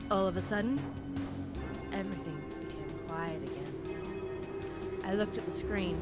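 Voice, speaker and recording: Female horror narrator, mid-range pitch, suspenseful and deliberate, reading over prominent music. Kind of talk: dramatic scripted horror story narration. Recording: music behind the voice. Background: background music.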